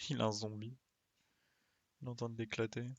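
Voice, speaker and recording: Gravelly Frenchman muttering through a game stream. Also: medium pitch, little pitch movement, fairly quick, dry recording, muffled recording. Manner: thinks aloud, muttering to himself with small playful sound effects. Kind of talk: casual live gameplay commentary.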